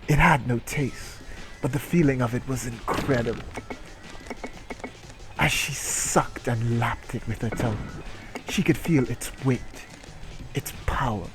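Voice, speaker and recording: A man reads a story aloud in a mock-dramatic style, taking his time. He is crude and irreverent, comic in his delivery, with prominent music playing behind him. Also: medium pitch. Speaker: reader and comedic streamer.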